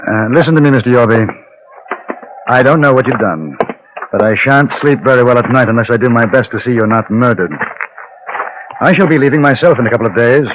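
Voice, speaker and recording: An actor playing a composed, steely gentleman gunfighter with polite, formal diction in an old-time radio Western. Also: mid-range voice, animated, a little fast, pauses often, dull audio, natural voice. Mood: calm concern. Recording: noisy vintage radio recording.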